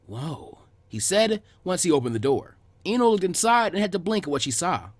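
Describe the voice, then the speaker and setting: A man narrating fan fiction as an animated scripted story reading. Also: high-pitched, brisk.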